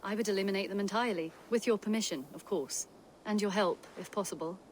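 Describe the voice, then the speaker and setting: A woman's voice: mid-range voice, quick pace, slight background noise, close to an everyday voice. A woman playing a shrewd, confident hunter and trader in a video game, polite, articulate and businesslike. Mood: concerned, resolute. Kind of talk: video game character acting.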